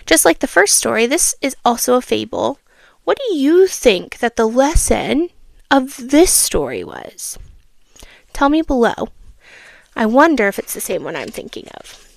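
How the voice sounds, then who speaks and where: Woman, children's storyteller, unhurried pace, higher pitch, expressive teacherly manner, asking the listener questions. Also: gravelly, no echo. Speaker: storyteller reading children's books. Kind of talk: animated read-aloud for children with asides.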